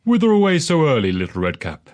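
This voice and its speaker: A man's voice: on the high side, wildly swooping pitch, fast talker, a little mellow, voice pushed up. A man voicing a wicked wolf in a storybook, sly, coaxing and falsely polite. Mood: falsely friendly.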